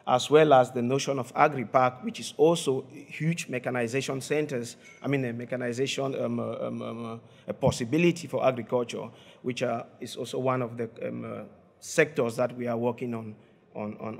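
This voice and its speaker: A man giving a conference presentation in a halting way, with many fillers and repeated words. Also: mid-range voice, steady tone, gravelly, breathy edge. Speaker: conference speaker. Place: conference hall.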